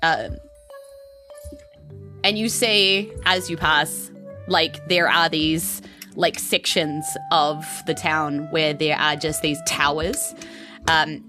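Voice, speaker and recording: A woman with a New Zealand accent improvising narration as game master of a tabletop roleplaying game. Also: low voice.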